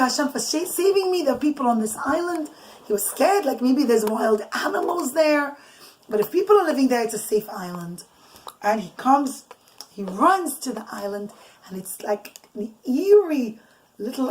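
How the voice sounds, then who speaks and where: A mother telling an informal, improvised religious bedtime story in an animated, conversational way, mixing in Hebrew and Yiddish terms. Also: a little high, normal speed, poor audio. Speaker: mother telling bedtime stories to children and listeners. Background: noise, clicks, small children nearby.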